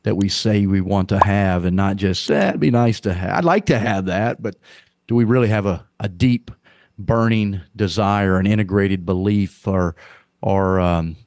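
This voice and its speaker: Middle-aged Southern man, low-pitched, earnest and rambling, dry close recording; podcast guest. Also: moderate pace, darkish tone, no room sound. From Arkansas, US. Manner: rambling, repeats words, earnest. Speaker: podcast guest, leadership coach. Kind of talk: casual conversational interview.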